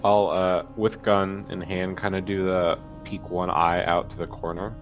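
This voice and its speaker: A man's voice: deep voice, little pitch movement, measured pace. A man playing a tabletop game, chatting casually and thinking aloud hesitantly, in slightly dull audio. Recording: slightly dull audio, faint music behind.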